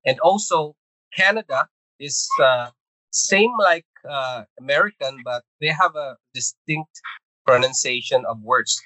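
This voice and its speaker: Filipino man, middle-aged, Filipino accent, hesitant casual guest, bright tone, dry close mic. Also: medium pitch, a little slow, dry recording, poor audio. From Philippines. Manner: hesitant, many fillers and restarts, laughs often. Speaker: podcast guest. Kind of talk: casual conversational interview.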